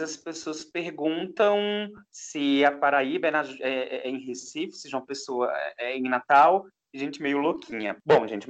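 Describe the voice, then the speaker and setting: A man speaks reflectively at a mid pitch. He often restarts mid-sentence, thinking aloud in a casual interview. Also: muffled recording. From Pernambuco, Brazil. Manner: reflective, with many restarts and fillers. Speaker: guest.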